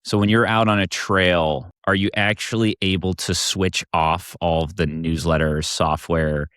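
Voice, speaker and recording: A young man hosting a podcast, reading a polished intro and then asking relaxed, friendly questions. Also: low-pitched, unhurried, clean tone, no room sound, studio quality. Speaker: podcast host. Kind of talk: podcast interview.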